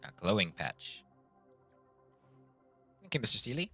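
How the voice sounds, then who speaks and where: A man's voice: lowish voice, big pitch swings, measured pace, sharp tone, soft background music. A man giving casual reaction commentary as a game streamer.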